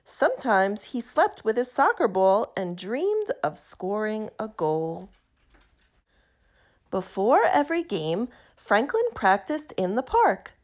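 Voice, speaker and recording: A woman reading a children's picture book aloud in an expressive, deliberate, emphatic way. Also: mid-range voice. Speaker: storybook reader.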